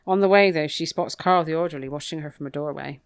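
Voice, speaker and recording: A woman's voice: deep voice, fast talker. A woman co-hosting a podcast, casually recapping a book in an informal, matter-of-fact way. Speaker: podcast co-host.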